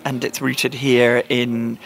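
A man presenting a feature, asking interview questions and reading scripted narration in a measured, polished way. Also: mid-range voice, level tone, a bit bright, low-quality recording.

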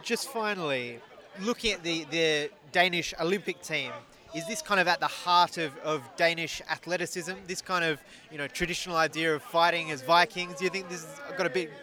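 A man's voice: a little high, a little fast, bright, forceful tone. A man working as a radio reporter, interviewing people outdoors at a public event in a casual way, filling his questions with "you know". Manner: chatty, leading questions, many "you know"s.

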